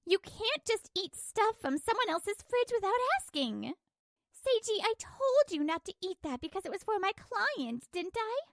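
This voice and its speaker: High-voiced exasperated wife, acted by a woman. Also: sing-song, normal speed, no echo, clean studio sound, heavily acted. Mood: exasperated, reproachful. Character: exasperated working wife, narrating her marital troubles. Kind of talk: scripted manga dub narration and dialogue.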